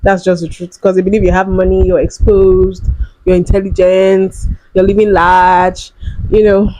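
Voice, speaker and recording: A woman's voice: mid-range voice, level tone, normal speed, warm tone, dry recording, low-quality recording. A woman hosting a reaction video, giving frank, matter-of-fact commentary with emphatic stresses. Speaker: reaction channel host.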